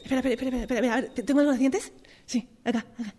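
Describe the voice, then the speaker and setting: A woman improvising a one-sided dialogue as a jittery, excited young woman, nervous and giggly, repeating her words. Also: high voice, racing. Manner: breathlessly repetitive, giggly, nervous. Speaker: radio drama workshop participant.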